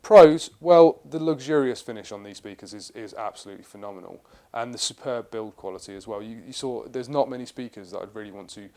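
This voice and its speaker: A man's voice: on the low side, a little fast, few pauses, warm tone. A man presenting a retailer's product video guide, informative and enthusiastic, with occasional fillers and restarts. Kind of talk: product review narration.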